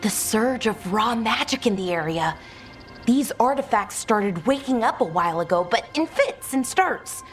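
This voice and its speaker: A woman's voice: fairly high pitch, soft background music, close to an everyday voice. An actress playing an eager, chatty young female elven magic researcher who thinks aloud in a fantasy video game. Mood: intrigued, uneasy. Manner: excitable, halting, thinking aloud. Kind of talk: cinematic video game dialogue.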